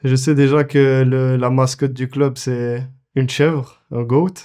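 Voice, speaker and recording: Hesitant young man, dark flat voice. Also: medium pitch, takes it slowly. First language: French. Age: 20s. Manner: hesitant, many fillers and restarts. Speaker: football goalkeeper, interviewee. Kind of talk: casual interview answers.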